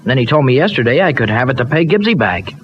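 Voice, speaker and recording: A man's voice: mid-range voice, very animated, fast, lightly acted. An actor playing an eager, streetwise but well-meaning young dairyman, with loose colloquial American speech in an old radio soap. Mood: doubtful. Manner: colloquial, folksy slang with loose grammar. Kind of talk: old-time radio soap opera acting. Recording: dull, noisy old radio recording.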